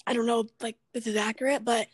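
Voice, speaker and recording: A raspy, husky young woman with a high voice, chatting on her podcast. She rambles through her stories, full of fillers. The sound is dry and close. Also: flat delivery, fast talker, no echo. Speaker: podcast host. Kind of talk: casual chat.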